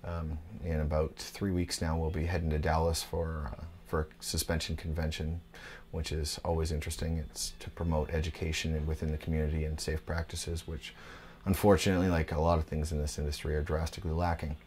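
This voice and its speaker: Low, flat-voiced laid-back man. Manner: laid-back, unhurried, frequent "uh" fillers. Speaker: tattoo and piercing shop owner. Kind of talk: documentary interview. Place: his tattoo shop.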